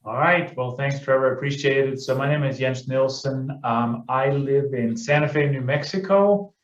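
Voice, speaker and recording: A man's voice: medium pitch, moderate pace. A middle-aged man from Denmark, presenting on a webinar with many fillers and self-corrections. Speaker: guest presenter, real estate investor. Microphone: video call. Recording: echoey, dull webinar audio.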